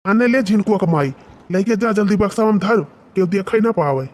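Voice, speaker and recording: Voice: mid-range voice, expressive, fairly quick, heavy background noise, lightly acted. A rustic village husband from a cartoon comedy played by a voice actor, speaking with an Awadhi accent. He is plain-spoken and bossy, giving orders in a nagging way. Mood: wary, bossy.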